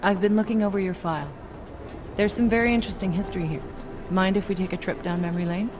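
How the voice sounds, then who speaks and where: A woman playing a composed, probing agency doctor in a video game, measured and dry in her straight talk. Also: medium pitch, steady tone, natural voice. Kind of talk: video game cutscene acting. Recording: music behind.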